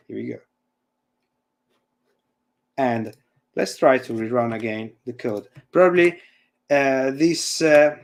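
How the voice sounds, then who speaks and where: A man's voice: mid-range voice, darkish tone. A man with an Italian accent speaks in English, his second language, over a video call. He gropes for words, restarting phrases and filling gaps with "uh" and "let me say". His call audio drops in and out now and then. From Italy. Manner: halting, searching for words, frequent fillers and restarts. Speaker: guest presenter and university lecturer. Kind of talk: casual chat and live coding demo on a video call. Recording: audio fading in and out.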